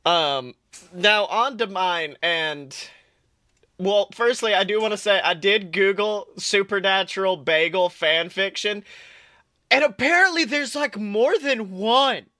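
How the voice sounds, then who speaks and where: A man's voice: high voice, slow, pushed and bright, no room sound, studio quality. A young man co-hosting a podcast, chatting casually and swearing freely, with frequent laughs. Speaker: podcast co-host. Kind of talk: casual chat and reading fan fiction aloud.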